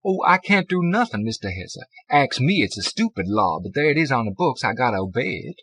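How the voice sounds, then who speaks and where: Man voicing a folksy middle-aged female bank clerk, raised pitch. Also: very animated, fairly quick, fairly bright tone, slightly rough, no echo, exaggerated voice. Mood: sympathetic, apologetic. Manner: folksy, colloquial, ungrammatical talk.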